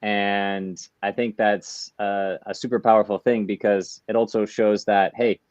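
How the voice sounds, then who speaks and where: A man's voice: on the low side, level tone, a little slow, a little mellow, no room sound. A man from the United States, a guest in a podcast interview, talking in a reflective, easygoing way. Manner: reflective, easygoing, laughs often. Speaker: guest, artist and entrepreneur. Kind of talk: interview conversation. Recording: dull, dry lo-fi remote audio.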